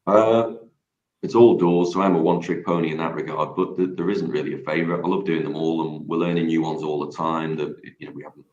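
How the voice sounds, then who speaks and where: Very deep-voiced man, modest and rambling. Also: steady tone, fast talker, a little mellow, reverberant, dull audio. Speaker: guest singer. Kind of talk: casual radio chat.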